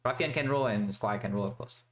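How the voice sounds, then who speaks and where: A man's voice: fairly low pitch, a little fast, slight background noise. A man from Vietnam giving casual commentary while playing a strategy game, thinking aloud with many fillers and restarts.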